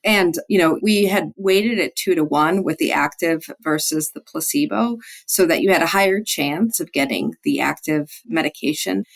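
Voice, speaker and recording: A woman's voice: sits low, moderate pace, creaky, few pauses. A woman physician being interviewed as a study author, explaining clinical research.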